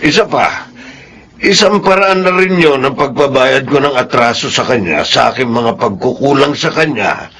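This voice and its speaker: Gravelly, ailing old father. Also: mid-range voice, expressive, moderate pace, pushed and bright, a little hiss or hum. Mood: firm. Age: older. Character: ailing elderly father and businessman, patient but firm. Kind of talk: Filipino radio drama acting.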